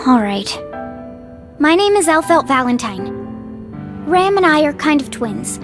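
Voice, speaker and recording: Timid young anime game heroine, very high voice, flustered, hesitant, eager to please, music behind. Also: female voice, very animated, a little slow. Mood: sheepish. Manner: hesitant, stammering.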